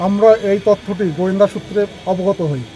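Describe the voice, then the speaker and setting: A man's voice: high-pitched, level tone, brisk, dark tone, slight background noise, low-quality recording. A man, an anti-corruption official, giving a formal, bureaucratic statement to the press outdoors at a hospital.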